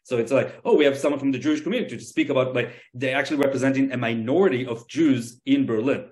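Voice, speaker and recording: A man's voice: mid-range voice, fast, darkish tone. Israeli guy talking on a webinar panel, lots of ums and restarts, everyday mid voice. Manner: conversational, many fillers and restarts.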